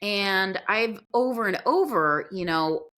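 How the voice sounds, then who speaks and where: A woman, a podcast guest who grew up in Guyana and Pakistan, talking in a casual, expressive storytelling way. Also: mid-range voice, slow pace. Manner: expressive storytelling, acts out quoted reactions. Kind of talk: casual conversational interview.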